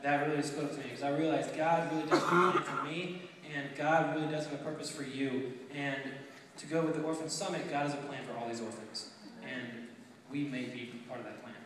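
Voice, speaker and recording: A man's voice: mid-range voice, fairly even intonation, recorded from a distance, slight background noise. Young man of nineteen giving a heartfelt testimony with stumbles, recorded from afar in a very echoey hall. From Romania. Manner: earnest, halting, many ums and restarts. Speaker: speaker telling his adoption story. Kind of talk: testimony speech to an audience. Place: large hall with an audience.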